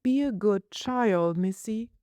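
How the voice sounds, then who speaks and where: A woman voicing a brisk, scolding but fond nursemaid in a storybook, with old-fashioned turns of phrase. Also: medium pitch, warm tone, dry recording, clean studio sound, pitched above the performer's own voice, natural voice. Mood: patient, firm.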